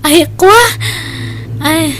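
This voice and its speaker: Casting: actress as evasive indebted wife, Ilocano, high-pitched, hesitant and slippery, for comedic radio drama. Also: female voice, drawn-out words, a bit bright, heavily acted. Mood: flustered, stalling. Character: evasive married woman who spends on credit, hides her debts.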